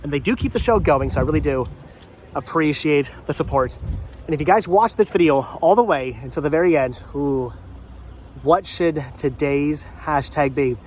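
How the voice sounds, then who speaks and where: A man's voice: on the high side, normal speed, a little mellow, slight background noise. A middle-aged man with a congested voice chats casually to viewers as a vlog host, rambling and repeating his phrases. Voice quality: congested with a head cold.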